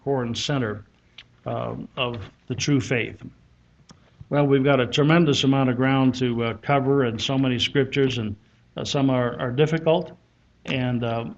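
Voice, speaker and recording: A man opens a church gathering, speaking in a halting, anecdotal way with many ums and restarts as he introduces the guest. His mid-pitched voice comes through a somewhat dull, lo-fi recording. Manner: warm anecdotal, frequent hesitations. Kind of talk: church conference talk.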